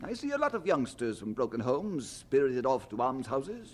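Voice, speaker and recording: A man's voice: mid-range voice, big pitch swings, moderate pace. A man playing a plain-spoken schoolmaster, exasperated but kind, in a radio drama, with an emphatic, questioning delivery. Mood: blunt, resigned.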